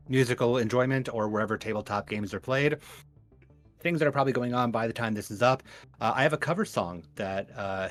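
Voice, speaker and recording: A man with a fairly low voice talks casually as a player in a tabletop game. Faint music plays behind him. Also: fairly bright tone. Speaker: player and performer in tabletop games. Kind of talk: casual game talk and plugs.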